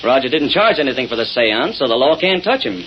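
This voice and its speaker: An actor playing an eager, slangy newspaper reporter, punchy and exasperated, in vintage radio-drama style. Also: male voice, mid-range voice, big pitch swings, fairly quick. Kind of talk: 1930s-40s radio acting. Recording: muffled, noisy old radio recording.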